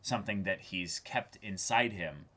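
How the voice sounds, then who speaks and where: A man's voice: low-pitched, unhurried, a bit bright. A man co-hosting a reaction show, talking earnestly and effusively in casual discussion, often repeating words as he searches for the next one.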